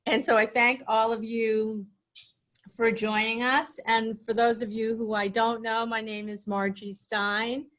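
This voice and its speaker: A woman's voice: fairly high pitch, flat delivery, measured pace, fairly bright tone. Welcoming woman hosting a call. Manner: welcoming, loose with fillers. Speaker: giving circle organizer and host. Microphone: video call.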